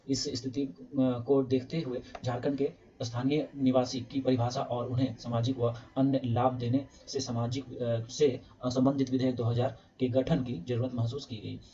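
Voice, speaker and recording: A man's voice: mid-range voice, mellow, dull audio. A man, reading news clippings aloud to viewers and breaking into casual asides full of fillers. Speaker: news explainer host.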